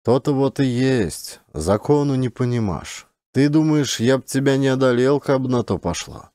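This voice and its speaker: A man's voice: mid-range voice, lively intonation, normal speed, warm tone, no room sound, studio quality, pitched above the performer's own voice, natural voice. A man voicing a simple, good-natured, grumbling peasant hunter with rustic, folksy speech, in an audiobook. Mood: reproachful grumbling.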